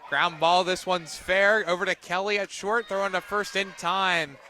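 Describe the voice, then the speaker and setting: A young man doing live radio play-by-play of a softball game as the announcer. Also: high-pitched, steady tone, normal speed. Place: outdoors at a softball field. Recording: outdoor ballpark noise, crowd behind. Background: crowd and outdoor ambient noise.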